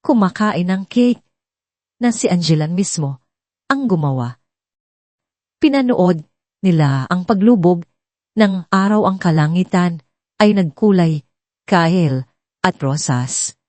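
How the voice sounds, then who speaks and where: A woman's voice: on the low side, animated, slow pace, long pauses, darkish tone, no echo. A woman narrating a scripted dramatic story.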